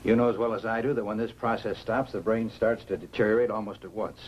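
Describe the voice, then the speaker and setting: A man's voice: fairly low pitch, fairly even intonation, fast talker, muffled recording, a little hiss or hum, natural voice. A man acting a grave, authoritative doctor with a clinical, emphatic manner in a 1950s science fiction film. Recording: old film soundtrack with faint music.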